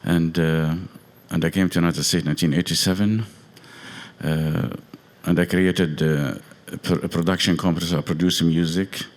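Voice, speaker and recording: A man with a very low, deep voice, born in Lebanon, gives a few informal words of thanks to a congregation. He speaks haltingly, stopping often on fillers and restarting his sentences. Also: fairly even intonation. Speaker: guest violinist. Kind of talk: short informal thank-you remarks. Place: congregation meeting hall.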